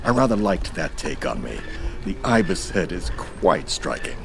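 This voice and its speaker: Theatrical ancient god, swooping delivery. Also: male voice, deep voice, sing-song, normal speed, gravelly, music in the background, heavily acted. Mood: wry, reminiscing. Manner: theatrical, erudite, wryly polite. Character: ancient godlike male being, theatrical, amused, urbane. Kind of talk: audio drama acting.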